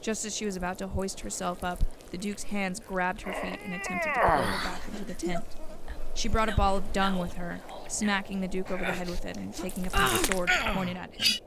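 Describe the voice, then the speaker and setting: A woman's voice: medium pitch, brisk. A woman reading scripted narration for an audio story, as its narrator. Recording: clear background noise and ambience.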